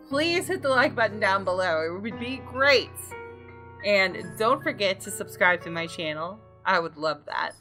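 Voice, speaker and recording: A young woman with a slightly low voice talks through a game for her audience. She is informal and chatty, speaking as a channel host at a close mic. Music plays clearly behind her. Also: bright, forceful tone. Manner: informal, chatty asides to viewers. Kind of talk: casual let's play commentary with some read-aloud visual novel narration.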